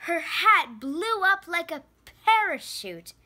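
A preteen girl reading a children's picture book aloud with expressive, deliberate storytelling. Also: very high-pitched, no room sound. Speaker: storybook reader and channel host. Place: indoor room at home, on a sofa.

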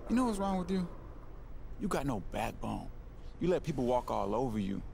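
Cocky, gravelly young influencer. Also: male voice, medium pitch, sing-song, moderate pace, dark tone, slight background noise. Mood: scornful, taunting. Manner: slangy, needling, street-talking. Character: cocky young male social media influencer, scornful and taunting. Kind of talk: naturalistic video game cutscene acting.

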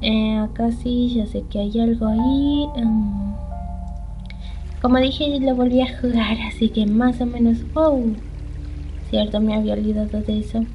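A woman's voice: fairly high pitch, fairly even intonation, unhurried, muffled recording. A woman doing casual let's play commentary on a video game, hesitant and half talking to herself. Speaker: gaming channel host playing a video game. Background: game music.

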